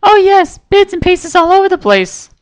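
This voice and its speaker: Pink pony mare, squeaky, giggly, sing-song, high-pitched, bouncy, cheerful-menacing, storybook. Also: very animated, normal speed. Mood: cheery.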